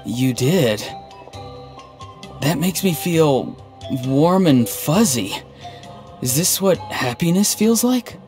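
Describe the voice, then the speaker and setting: Deadpan, earnest young man. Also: fairly high pitch. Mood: sincere, awkward. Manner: deadpan, halting, stiffly sincere. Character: earnest, odd, melancholy young man who tends vegetables. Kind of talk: video game dialogue acting. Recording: game music underneath. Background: background game music.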